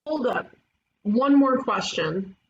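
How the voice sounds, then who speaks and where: A woman playing a blunt, impulsive detective in an animated comedy read, on dull, faintly noisy audio. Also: on the high side, slow pace, creaky, full character voice. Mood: probing. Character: blunt, impulsive female detective, sister of partner.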